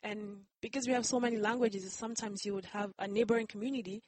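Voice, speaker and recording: A woman's voice: mid-range voice, steady tone, fast, a little mellow, no room sound. A woman from Nigeria whose first language is Yoruba, answering interview questions in a careful, explanatory way and often hedging with "I believe". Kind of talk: conversational interview.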